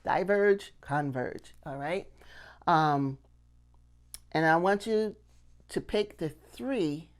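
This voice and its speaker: A middle-aged woman giving a casual lesson to camera, conversational and often checking in with little questions. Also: sits low. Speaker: puppetry instructor and channel host. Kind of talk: casual instructional talk to camera. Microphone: clip-on lapel mic.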